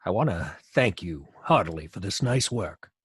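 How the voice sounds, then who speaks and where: An actor playing an authoritative police commissioner in old radio drama, husky and brisk, with an official manner. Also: male voice, low voice, expressive, no echo, full character voice. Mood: relieved, cordial. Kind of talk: 1940s radio drama acting.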